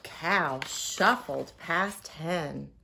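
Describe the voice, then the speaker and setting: A middle-aged woman, a preschool teacher, reading a picture book aloud to young children with expressive, deliberate storytelling. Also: deep voice, creaky, noisy background. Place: living room at home.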